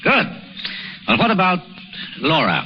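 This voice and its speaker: A man's voice: high voice, wildly swooping pitch, a little slow, exaggerated voice. A man playing a genial, fatherly senior doctor in a 1930s radio drama, encouraging and formal in his speech. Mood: warm, fatherly. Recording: dull, noisy 1930s radio recording.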